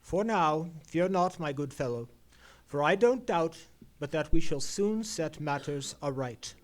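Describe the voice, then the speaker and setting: A Victorian gentleman sleuth in a radio play. His voice sits fairly high, and he speaks in a formal, precise, musing way with theatrical confidence. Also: expressive, unhurried. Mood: assured, brisk. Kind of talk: old-time radio mystery play, performed live.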